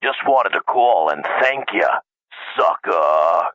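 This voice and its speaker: A man playing a gloating rival in a comedic audio drama, mocking and drawn-out in his taunts. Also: low-pitched, lively intonation, a bit bright, no room sound, dull audio, full character voice. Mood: smug, mocking.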